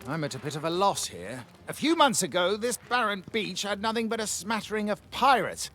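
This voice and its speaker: An actor playing a gallant, pompous knight commander in a video game, courteous and honor-bound, with a formal, theatrical delivery. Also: male voice, sits high, very animated, a little fast, bright, forceful tone, soft background music, heavily acted. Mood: concerned. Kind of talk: video game character acting.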